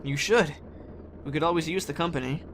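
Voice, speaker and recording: A man's voice: fairly high pitch, fairly quick, fairly bright tone, lightly acted. A man as a headstrong teenage boy, noisy background. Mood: sincere, awkward. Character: headstrong teenage boy survivor, blunt but earnest. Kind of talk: naturalistic amateur audio drama acting. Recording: clear vehicle-like background noise.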